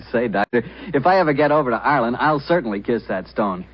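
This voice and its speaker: A man's voice: medium pitch, animated, a little fast. An American man working as a 1940s radio announcer and host, with a folksy, chatty salesman's manner. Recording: dull, rough 1940s radio recording.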